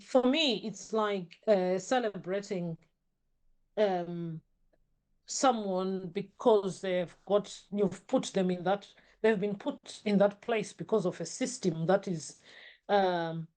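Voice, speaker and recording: A woman answers an interview question slowly, with many pauses and restarts as she searches for words. Her pitch is ordinary for a woman. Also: darkish tone, rough recording. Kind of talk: documentary interview.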